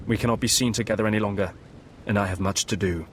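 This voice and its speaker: A scheming Sith villain from a sci-fi game, played by a man. He speaks in a fairly low voice, haughty, guarded and deliberate, with rain and thunder rumbling behind. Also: fairly even intonation, a little hiss or hum, lightly acted. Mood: secretive. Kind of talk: video game voice acting.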